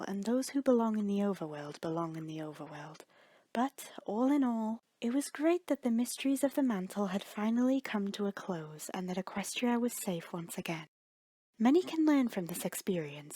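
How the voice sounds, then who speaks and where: A woman narrates a story with a breathy, husky voice at a middling pitch. Her reading is measured and dramatic, with deliberate pauses, in a very dry recording. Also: dark tone, no echo.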